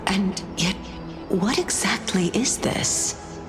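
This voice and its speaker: A woman's voice: mid-range voice, expressive, takes it slowly. A woman playing a composed, elegant Titan in a video game, speaking in a languid, wry and formal way. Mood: wryly amused. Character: composed, elegant, quietly amused female Titan. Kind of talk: scripted game dialogue. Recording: music behind the voice.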